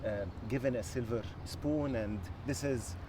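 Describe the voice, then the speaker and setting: A man's voice: mid-range voice, noisy background. A middle-aged man from Lebanon, a former executive being interviewed, speaking earnestly and thoughtfully, often restarting his sentences. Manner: earnest, measured, with frequent restarts. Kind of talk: reflective interview conversation.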